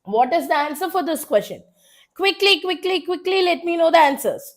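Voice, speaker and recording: A woman with a South Indian accent teaching a live online exam revision class, hoarse from a cold, urging students on and repeating phrases for emphasis. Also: very high-pitched, lively intonation, moderate pace. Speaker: chemistry teacher.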